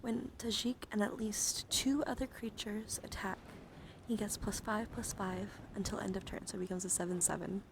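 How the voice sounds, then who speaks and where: A woman livestream host speaking in a soothing, mostly whispered ASMR style, breathy and casual, with playful encouragement. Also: mid-range voice, steady tone, moderate pace, background noise. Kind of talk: whispered ASMR card reading with casual chat.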